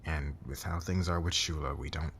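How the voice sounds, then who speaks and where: A man playing a demanding, defensive boss with a hot temper and a hidden crush, halting and intense, in an ASMR romance roleplay. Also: very deep voice, steady tone, fairly bright tone, a little hiss or hum. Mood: flustered, hesitant.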